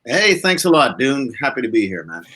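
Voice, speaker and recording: A man's voice: medium pitch, big pitch swings, fairly quick, bright, forceful tone, breathy edge. A man, chatting informally as an interview guest and rambling through his stories. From Canada. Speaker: guest, blues musician. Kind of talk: casual interview chat.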